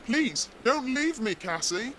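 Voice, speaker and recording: An unearthly fanged man-creature from a horror story, acted by a woman. The voice is slow, whispery and softly menacing, with big swoops in pitch. Some noise sits behind it. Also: big pitch swings, exaggerated voice. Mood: pleading menace. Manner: slow, whispery, coaxing delivery.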